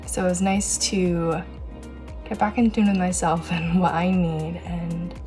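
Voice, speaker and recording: Chatty young woman vlogging her day. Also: on the low side. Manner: upbeat, chatty, talks to viewers directly. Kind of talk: casual day-in-the-life narration.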